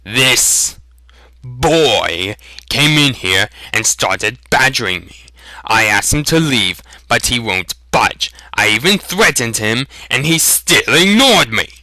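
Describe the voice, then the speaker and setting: A man voicing a surly, quick-tempered village butcher in a storybook reading, sneering with exaggerated patience or shouting. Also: mid-range voice, expressive, dry recording, full character voice, rough recording. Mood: indignant, whining.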